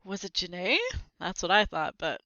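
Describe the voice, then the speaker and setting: A woman reading a children's book aloud, expressive and acting out the characters' lines with short asides. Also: fairly low pitch, normal speed, dry recording, lo-fi sound.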